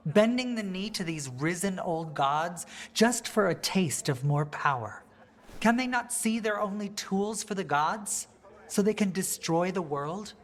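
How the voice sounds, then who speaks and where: A woman playing an earnest, idealistic noble reformer in a video game, articulate and steadfast. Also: on the low side. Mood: exasperated. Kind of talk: video game character dialogue.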